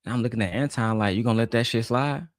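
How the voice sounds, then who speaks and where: A woman voicing a laid-back male friend who gossips and agrees along in an easy, low drawl. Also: fast, no room sound, clean studio sound. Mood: gossiping.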